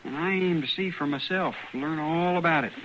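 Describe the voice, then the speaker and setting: A man's voice: fairly high pitch, moderate pace, fairly bright tone, close to an everyday voice. An actor playing a wistful, nearly grown frontier boy in a radio western, with a rural Western American accent and an artless, folksy manner. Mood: wistful, earnest. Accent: rural American West. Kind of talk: old-time radio western acting. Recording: dull, faintly noisy old radio recording.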